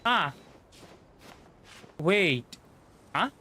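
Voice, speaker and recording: A man's voice: high-pitched, big pitch swings, drawn-out words, pushed and bright. A young man from India with a Bengali accent, a native Bengali speaker, giving casual, hesitant and rambling gameplay commentary as a livestreamer. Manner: hesitant, rambling, repeats himself. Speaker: livestreamer, VTuber. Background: game music.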